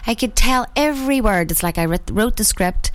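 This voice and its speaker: A woman with a Northern Irish accent and a husky voice, hosting morning radio in a chatty, friendly way with frequent ums. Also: mid-range voice, lively intonation, moderate pace. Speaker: radio host. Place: radio studio.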